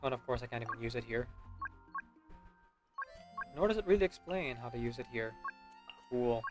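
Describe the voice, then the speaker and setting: Male game streamer, casual commentary, middling pitch, rambling explanations, frequent check-in tags, music in the background. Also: expressive, moderate pace. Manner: rambling, often checks with "right?". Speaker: video game livestreamer.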